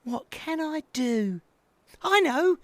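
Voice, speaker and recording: A storyteller voicing an excitable, impatient little boy owl in an insistent, exclamatory way for a children's story. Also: sits high, slow pace, pitched above the performer's own voice, heavily acted. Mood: bored, eager.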